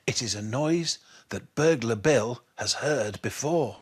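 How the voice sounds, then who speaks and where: A man reading a children's bedtime story in an expressive, measured way. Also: medium pitch, slightly rough.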